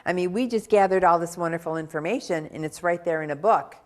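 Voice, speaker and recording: A woman's voice: on the low side, quick pace, darkish tone. A woman hosting a local television interview show, friendly and encouraging toward her guest. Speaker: talk show host.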